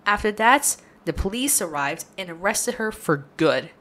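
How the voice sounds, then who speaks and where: A woman's voice: mid-range voice, expressive, moderate pace. A woman storyteller hosting and reading a scripted story recap addressed directly to the listener. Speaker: channel host and storyteller. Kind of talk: scripted second-person story recap narration. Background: loud music.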